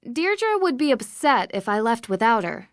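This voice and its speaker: An actress voicing a polite, inquisitive young woman detective in a video game, asking in a measured, probing way. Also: on the high side, big pitch swings, dry recording, clean studio sound. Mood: calm.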